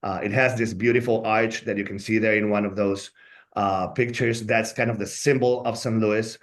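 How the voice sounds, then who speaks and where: A man's voice: fairly low pitch, fairly even intonation, moderate pace. Colombian man with a Spanish accent. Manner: earnest, often adds "right?" as a tag. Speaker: law school staff member. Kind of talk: informational webinar talk.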